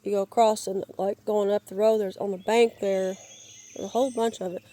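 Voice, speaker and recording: A woman with a raspy, crackly voice from a lingering cold, giving a halting, rambling tour of her wooded yard, searching for words and restarting often. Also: mid-range voice, steady tone, warm tone. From Georgia, US. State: raspy, crackly voice while getting over a cold. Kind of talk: casual rambling walk-and-talk. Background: birds and outdoor ambience.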